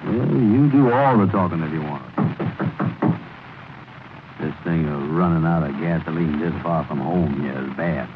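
A man's voice: rumbling low, measured pace, long pauses, over music. An actor playing a grumbling, blustery man with folksy, ungrammatical dialect, in 1930s radio comedy style. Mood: reluctant. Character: grumbling, blustery cab rider, wary of spending money. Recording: crackly 1930s radio broadcast, narrow band.

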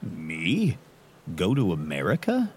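Hammy, swooping theatrical radio host. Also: male voice, low voice, wildly swooping pitch, darkish tone, slightly rough, heavily acted. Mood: wry, grandiose. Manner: melodramatic, pompous, drawn-out delivery. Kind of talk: radio drama acting.

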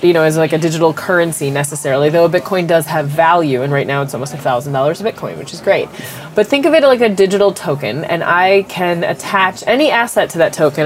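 A woman's voice: lowish voice, slight background noise. A woman with a cold and a stuffed-up voice, a company executive answering interview questions and explaining technology through everyday comparisons, with frequent ums.

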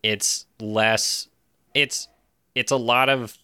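A man's voice: medium pitch, steady tone, extremely slow, pushed and bright, clean tone, no room sound, studio quality. A wry, self-deprecating man chatting casually as a guest in a podcast interview. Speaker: podcast guest, show co-creator.

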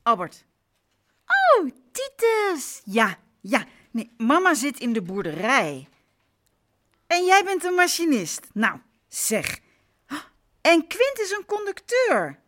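A woman's voice: high-pitched, a bit bright, full character voice. A woman acting in a Dutch radio play as an exasperated, ironic mother of young boys, emphatic and talking in short bursts. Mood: cheerful, motherly. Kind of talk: naturalistic radio drama acting.

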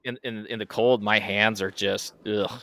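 A man in his thirties from eastern Washington chatting casually, halting and restarting often. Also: lowish voice, steady tone, fairly bright tone, no room sound. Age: 30s. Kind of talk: casual chat.

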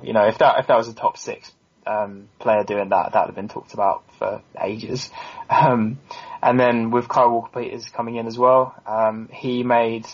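A man's voice: medium pitch, husky. A man on a football podcast panel, talking casually and earnestly in an analytical way. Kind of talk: casual sports discussion. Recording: dull, lo-fi voice recording.

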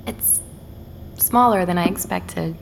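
A woman's voice: on the low side, slow pace, vocal fry, slight background noise. A woman with an American accent playing a curious, polite investigator in a naturalistic audio drama. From New York.

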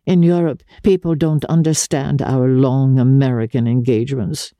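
Lady acting a sad worldly countess from an old novel, soft dark voice, deliberate, bit ironic, dry sound. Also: very deep voice, fairly even intonation, no echo. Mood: gentle irony. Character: worldly, poised, melancholy young countess.

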